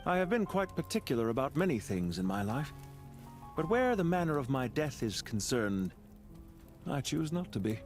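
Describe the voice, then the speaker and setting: An actor playing a proud, composed, calculating magnate in a video game, with a dignified, deliberate and formal delivery. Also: male voice, medium pitch, sing-song, close to an everyday voice. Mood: calm farewell. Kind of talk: scripted video game voice acting. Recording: music under the voice.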